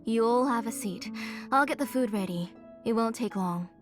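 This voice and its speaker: A woman voicing a gentle, caring mother who cooks for her guests, with game music behind. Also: a little high, normal speed, close to an everyday voice. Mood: welcoming. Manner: soft and welcoming.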